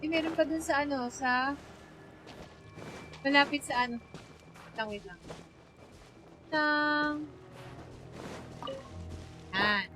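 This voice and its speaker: Filipino woman, high-pitched, casual game streamer who mixes two languages, game music underneath. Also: slow pace, pauses often. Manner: switches between two languages. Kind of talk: casual live gaming commentary.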